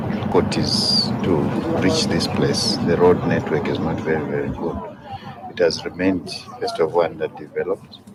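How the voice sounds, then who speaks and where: A man with a Zambian accent giving an unscripted statement as an official on location. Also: rumbling low. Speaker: government minister. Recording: loud outdoor traffic noise behind.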